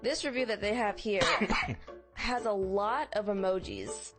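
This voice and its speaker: A woman's voice: medium pitch, unhurried, faint music behind. A woman co-hosting a podcast in casual, comedic conversation, with a wry, blunt way of talking. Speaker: podcast co-host.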